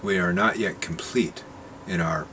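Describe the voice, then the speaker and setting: A man reading the words of an interstellar court's formal ruling, in the slow, declaratory tone of a story reading. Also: low-pitched, a bit bright, far from the mic, a little hiss or hum. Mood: solemn.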